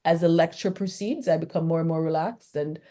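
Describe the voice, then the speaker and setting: A woman's voice: deep voice, a little fast, mellow. A woman academic with a Jamaican accent, in an academic discussion, hesitantly searching for words and repeating phrases. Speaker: academic discussant asking questions.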